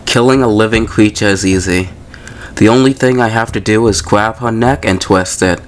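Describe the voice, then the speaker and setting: A man's voice: on the low side, moderate pace, a little hiss or hum. A man hosting a gaming video, casually commenting and reading story text aloud in an informal, slangy way.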